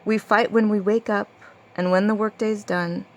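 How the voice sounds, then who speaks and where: A woman's voice: mid-range voice, fairly even intonation, moderate pace. A woman reading her own rhyming verse aloud like a storybook tale, with a rhythmic, theatrical storytelling delivery.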